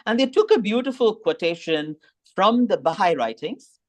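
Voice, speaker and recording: A man's voice: high voice, animated, moderate pace. A man whose first language is Tamil, giving a thoughtful slide presentation and rising in emphasis on key points.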